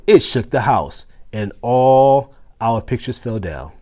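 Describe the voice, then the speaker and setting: A man voicing an eager, excitable schoolboy from a storybook in an earnest, exclaiming way. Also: medium pitch, slow pace. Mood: excited.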